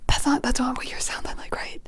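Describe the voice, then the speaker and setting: Whispering, flustered woman doing ASMR. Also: a little fast. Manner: fretful, flustered, repeats phrases over and over. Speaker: ASMR creator.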